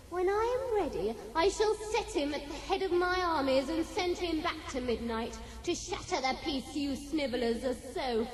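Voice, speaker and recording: An actress playing a cruel, imperious young sorceress empress in a fantasy audio drama, gloating theatrically and with scorn. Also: female voice, high-pitched. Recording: long cavernous reverb and echo.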